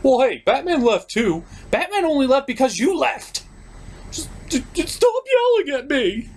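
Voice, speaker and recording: A man puts on a weepy superhero puppet for a comedy show. The voice sits high and strained, pleading and sobbing. He comes across as insecure, defensive and desperate to be liked. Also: very animated, a little slow, a little hiss or hum, full character voice. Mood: defensive, whiny.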